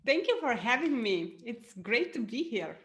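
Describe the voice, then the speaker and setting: A woman's voice: fairly high pitch, very animated, moderate pace. A middle-aged woman from Romania with a Romanian accent, a business coach telling her story in a podcast interview with many "uh"s and small laughs. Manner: frequent "uh" and "um", laughs often.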